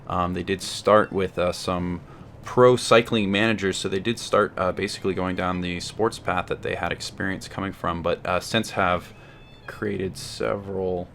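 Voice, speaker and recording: A man with a fairly low voice reviews a video game over gameplay. His commentary is wry and a little hesitant, with faint noise and ambience behind him. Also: fairly even intonation, normal speed, gravelly, fairly bright tone.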